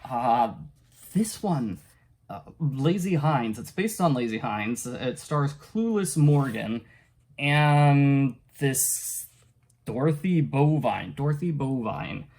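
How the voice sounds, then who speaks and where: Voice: medium pitch, animated, slow pace, a little mellow. Casual vlog-style book reviewer, unscripted and hesitant, rambles and trails off with small chuckles.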